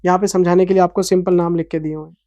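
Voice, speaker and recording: A man's voice: sits high, level tone, racing, warm tone, no room sound. A man in his forties, an accounting teacher giving a revision lecture in a passionate, scolding then explanatory manner, with an Indian English accent. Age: 40s.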